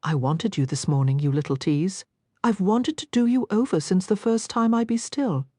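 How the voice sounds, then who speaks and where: Brooding Cockney rogue, strained commanding delivery, read by a woman narrator, pitched up, dry studio read. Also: normal speed, mellow, no room sound, natural voice. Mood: snarling. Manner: strained, commanding, hoarse-edged. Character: rough, brooding gaming-club owner, adult man.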